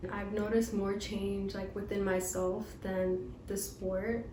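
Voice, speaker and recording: A nineteen-year-old woman reflecting on skating in a calm, even way. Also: mid-range voice, slow pace, distant mic. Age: young adult. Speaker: skater. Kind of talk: casual interview answers. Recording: echoey room with clear background noise.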